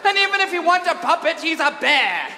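Shrill, flamboyant old headmaster, a man acting. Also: very animated, quick pace, pushed and bright, exaggerated voice. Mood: scolding, exasperated. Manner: theatrical, fussy, exasperated. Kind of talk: comic musical staged reading. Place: on stage in a large hall. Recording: echoey noisy hall with live audience.

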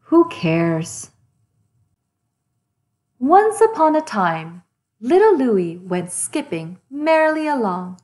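Slow, expressive woman reading to children. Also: high voice. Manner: deliberate, addressing young listeners. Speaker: children's read-aloud host. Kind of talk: expressive storybook narration.

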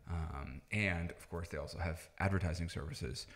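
A man's voice: sits low, moderate pace, gravelly, fairly bright tone. A man in his thirties from the Netherlands, a native Dutch speaker, explaining things conversationally as a podcast guest. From Netherlands, moved to the US at nine. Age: 30s. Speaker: podcast guest, tech founder. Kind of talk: conversational interview answers, explaining.

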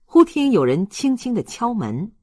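A man's voice: very high voice, very animated, normal speed, mellow, no room sound. A man narrating a literary audiobook in a dramatic storytelling style.

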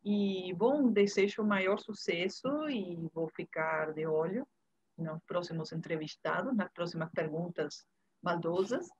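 Woman, 50s, husky, Uruguayan Spanish accent, casual interview storytelling, dull video-call sound. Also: fairly low pitch, lively intonation, unhurried, darkish tone. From Montevideo, Uruguay. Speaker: interview guest, curator and art history professor. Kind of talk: casual reminiscing interview talk. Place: indoor room, video call. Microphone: earphone headset on video call.

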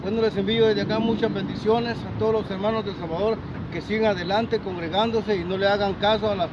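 A man's voice: sits high, steady tone, fast talker. A middle-aged man preaching fervently, answering questions outdoors in a parking lot, recorded on a handheld phone. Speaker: street preacher. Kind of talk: street interview answers. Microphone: phone camera held by interviewer. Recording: dull phone audio with traffic noise. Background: traffic noise.

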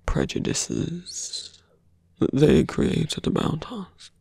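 A man playing a seductive, embittered slum-born villain in a fantasy ASMR roleplay, speaking slowly and intimately in a breathy, gravelly half-whisper. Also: on the high side, huge pitch swings, darkish tone, no room sound, heavily acted. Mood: bitter, intimate. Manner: slow, intimate, half-whispered, deliberate pauses.

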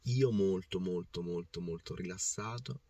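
A hoarse man in his thirties talks through a football match from his parked car, his voice low and a little rough. He rambles with passion and circles back over his own words, repeating points as he goes. The camera mic on the dashboard sits a little way from him, so he sounds slightly distant. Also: measured pace, dark tone, no room sound. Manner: passionate, rambling with restarts and repetitions. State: hoarse, voice worn out. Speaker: football fan vlogger. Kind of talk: casual match recap to camera. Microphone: phone or camera on the dashboard.

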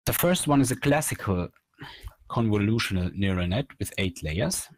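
A man in his thirties presenting a technical slide lecture as a researcher, explaining in a measured, halting way from a small room. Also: on the low side, expressive. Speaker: researcher presenting at an online academy.

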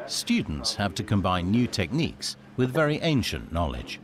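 A man reading scripted documentary narration in a measured, storytelling manner. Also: lowish voice, wildly swooping pitch, crowd noise. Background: music.

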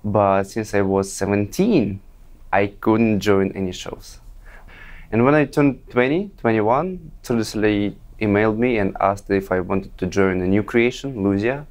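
A man's voice: lowish voice, measured pace. A young man with a Russian accent, a guest telling his story in an interview. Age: young adult. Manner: friendly, storytelling. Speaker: guest performer. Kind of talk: interview answers.

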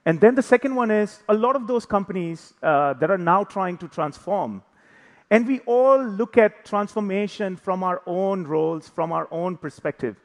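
A man's voice: high voice, animated, normal speed. A man in his thirties giving a conference talk on stage, conversational and self-deprecating with light jokes. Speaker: conference speaker. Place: large conference hall on stage. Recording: reverberant hall.

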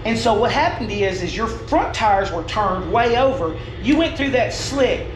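A man's voice: high-pitched, lively intonation, measured pace. A middle-aged man patiently walking a driver through race footage, explaining in a stop-start way at a desk indoors. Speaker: racing coach. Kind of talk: reviewing race footage, coaching. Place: indoor room at a desk with a laptop. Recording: roomy echo with music playing underneath.

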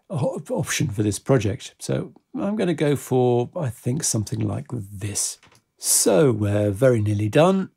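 A man giving a casual step-by-step software tutorial in a measured, explanatory way. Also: mid-range voice, gravelly, slightly rough.

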